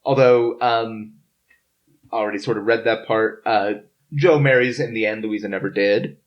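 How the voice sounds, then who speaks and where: A man hosting a casual podcast discussion, speaking informally and haltingly as he works through his notes. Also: on the low side, steady tone, dull audio. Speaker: podcast host. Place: in-person recording around a table.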